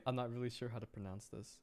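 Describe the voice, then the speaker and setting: Animated man streaming a video game. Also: medium pitch. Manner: animated, excitable, thinks aloud. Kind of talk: casual livestream gameplay commentary.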